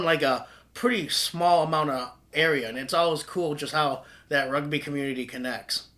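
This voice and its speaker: A man's voice: on the high side, pushed and bright. A man hosting an interview podcast, talking in a friendly, conversational way and calling his guest "man" a lot. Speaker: podcast host, historian. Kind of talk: casual interview chat.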